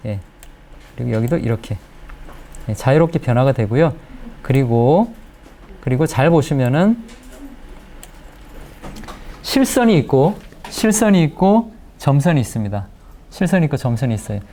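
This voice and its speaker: A man, giving a step-by-step software tutorial and repeating phrases as he explains. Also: mid-range voice, very animated, moderate pace, long pauses, slight background noise. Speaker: video editing instructor. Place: indoor lecture room in front of a large screen. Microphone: lapel mic.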